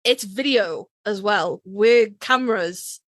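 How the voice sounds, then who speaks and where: A woman co-hosting a casual, joking fan podcast, telling things in an animated way and swearing freely. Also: mid-range voice, slow. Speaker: podcast co-host.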